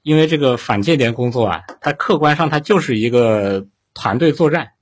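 Male discussion panelist, medium pitch, raspy tone, explaining haltingly and restating his phrases. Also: expressive.

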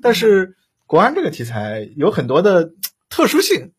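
A man hosting an unscripted interview, asking questions in a roundabout, halting way and repeating himself. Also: high-pitched, wildly swooping pitch, slightly rough. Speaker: host.

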